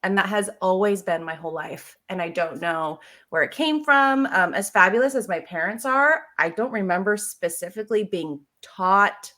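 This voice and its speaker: A woman's voice: mid-range voice, normal speed, hardly any pauses. An American woman speaking as a podcast guest, telling stories casually with emphatic delivery and joking asides. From Seattle area, United States. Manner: animated, emphatic, jokey asides with acted-out quotes. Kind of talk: casual conversational storytelling.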